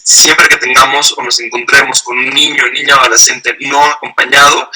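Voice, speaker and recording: Bright-voiced man giving a legal training talk. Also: medium pitch, husky, rough recording. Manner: explanatory, uses acted dialogue examples. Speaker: lecturer on asylum law. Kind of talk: online training lecture.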